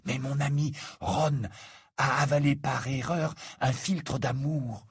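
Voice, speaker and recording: A man's voice: medium pitch, fairly even intonation, slow pace, breathy edge, no room sound, studio quality, voice pushed up. A man voicing a polite, earnest teenage schoolboy in an audiobook, anxiously pleading for his friend. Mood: pleading, careful.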